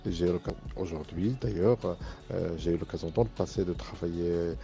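A middle-aged man from Morocco, an Arabic speaker, giving an earnest, measured interview as a university professor, often pausing on hesitant fillers. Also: low-pitched, creaky, dark tone. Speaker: university professor and engineering director. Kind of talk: interview testimony for a student podcast.

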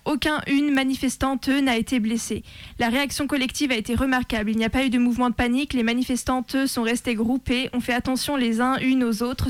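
High-voiced woman reading a statement. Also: dry recording. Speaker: radio presenter reading a communiqué. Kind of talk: scripted reading of an activist statement.

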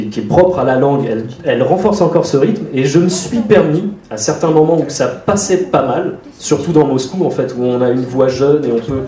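A man's voice: medium pitch, warm tone, roomy sound, recorded from a distance, poor audio. A bilingual man who translates books, explaining his thinking at a panel in a hesitant, thoughtful way. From France, Portuguese parents. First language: French and Portuguese. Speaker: literary translator. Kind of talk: panel discussion.

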